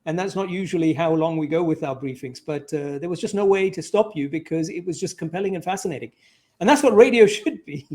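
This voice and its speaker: High-pitched male interview host, effusive. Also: quick pace, darkish tone. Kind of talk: conversational interview hosting.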